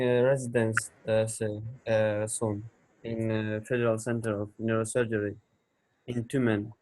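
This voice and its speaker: A man's voice: mid-range voice, darkish tone. A young man from Russia introducing himself as a trainee, hesitant, in broken English with many restarts. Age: young adult. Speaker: neurosurgery trainee participant. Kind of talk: self-introduction. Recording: faintly noisy video call with clicks.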